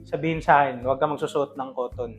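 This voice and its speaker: A man's voice: mid-range voice, fairly even intonation, fast talker, soft background music. A man with a Filipino accent telling stories casually as a podcast co-host, mixing in English.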